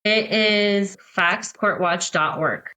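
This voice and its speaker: A woman's voice: medium pitch, takes it slowly, pushed and bright, a little hiss or hum, low-quality recording. An American woman speaking as an advocate and interview guest, explaining things in a conversational way. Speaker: podcast guest, court-watch advocate.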